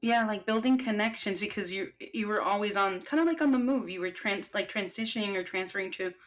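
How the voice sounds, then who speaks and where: A woman's voice: medium pitch, a little fast. A woman hosting a podcast, talking casually with her colleague and filling her speech with "like" and "kinda". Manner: chatty, fillers like "like" and "kinda". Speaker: podcast host.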